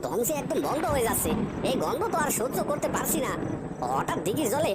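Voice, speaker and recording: A woman voicing a nervous, curious young village fishing helper in a cartoon ghost story, excitable and full of questions. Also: sits high, brisk, heavy background noise. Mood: frightened. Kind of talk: animated ghost story voice acting.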